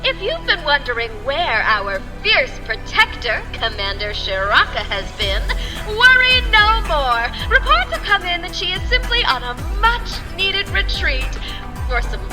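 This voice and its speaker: A woman's voice: high-pitched, very animated, unhurried. A woman playing a perky, breezily snippy galactic propaganda radio host with exaggerated cheery announcer delivery. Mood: chirpy, announcing. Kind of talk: parody radio broadcast. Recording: long reverb with faint music.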